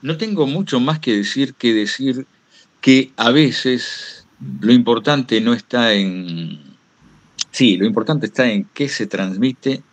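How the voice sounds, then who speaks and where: A man's voice: medium pitch, no echo. A man with an Argentine accent talking informally to a workshop group as a guest, anecdotal, hesitant and self-deprecating. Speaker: guest speaker, radio host.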